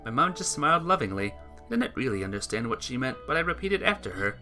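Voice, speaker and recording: A bright, forward voice narrates a sentimental story in earnest. The reading trips slightly now and then, and music plays behind it. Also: mid-range voice, very animated, fast. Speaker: visual novel player reading the text aloud.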